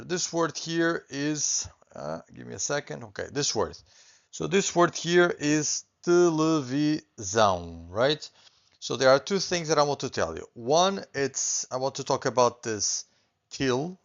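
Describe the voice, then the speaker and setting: Middle-aged Portuguese man with an accent, patient beginners' teacher, mid pitch, slightly dull lo-fi sound. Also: a bit bright. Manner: patient, reassuring, repeats points, often adds okay. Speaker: language teacher. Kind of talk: online language lesson.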